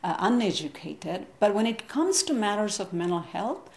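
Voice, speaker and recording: A woman from India, a guest on a TV interview, explaining her views in an articulate, measured way. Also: medium pitch, big pitch swings, creaky, a little mellow, echoey room. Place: TV studio.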